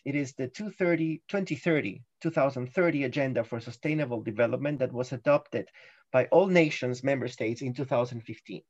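A man's voice: fairly high pitch, a little mellow, no room sound, highs cut off. A middle-aged man giving a guest interview on a podcast, explaining carefully with frequent ums and restarts. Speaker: guest, UN guided tours chief.

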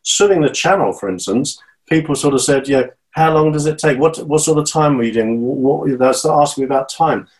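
A man's voice: on the high side, brisk, hardly any pauses, low-quality recording. A man in his fifties from Great Britain, a guest talking in an interview, earnest and encouraging, often repeating and restarting his words. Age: 50s. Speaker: guest, channel swimmer. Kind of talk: casual interview talk.

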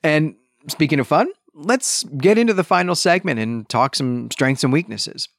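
A man's voice: fairly high pitch, expressive, moderate pace, creaky, dry recording. Man hosting a book podcast, dry sound. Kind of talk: book discussion monologue.

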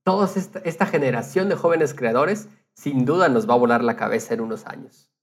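Mid-pitched man in casual podcast conversation. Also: fairly quick. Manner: anecdotal, retells conversations in quotes.

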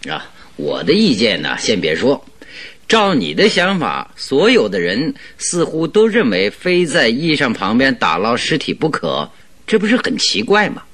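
A man's voice: mid-range voice, wildly swooping pitch, unhurried, fairly bright tone, full character voice. A man playing a shrewd, amused master detective in a radio drama, probing and teasing as he talks. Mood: teasing, confident. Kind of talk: Chinese radio drama acting.

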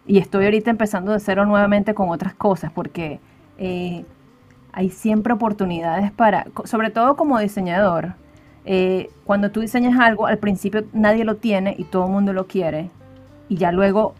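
A woman's voice: mid-range voice, darkish tone. A Venezuelan woman speaking as a podcast guest, telling her story in a reflective way with frequent fillers. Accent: Venezuelan Spanish. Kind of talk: conversational interview.

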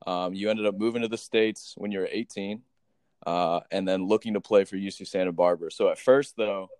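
Low, smooth-voiced male interviewer. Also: fairly even intonation, a little fast, a little mellow, no echo, clean studio sound. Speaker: interview show host. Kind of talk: casual interview.

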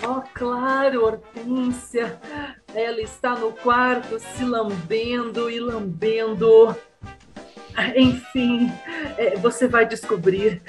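A high, slow-speaking pious mother acted by a woman from a comic radio soap. She is bossy and dramatic, stretching out her exclamations over light background music. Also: fairly even intonation. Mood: sweetly fussy.

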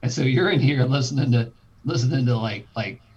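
A man's voice: medium pitch, fairly quick, a little mellow, muffled recording. A man co-hosting a sports podcast, joking along and rambling through self-corrections. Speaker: podcast co-host. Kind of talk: casual sports chat.

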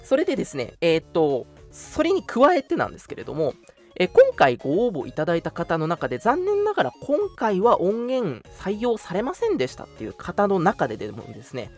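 A man's voice: high voice, wildly swooping pitch, fairly quick, darkish tone, soft background music. A young man hosting an online radio show, making announcements in a polite, earnest, conversational way. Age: young adult.

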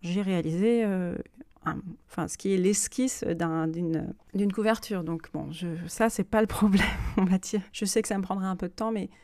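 A woman's voice: mid-range voice, normal speed, dark tone, no echo, clean studio sound. A woman hosting a personal podcast, speaking unscripted and reflectively, often hesitating as she searches for her words. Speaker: podcast host and self-published author.

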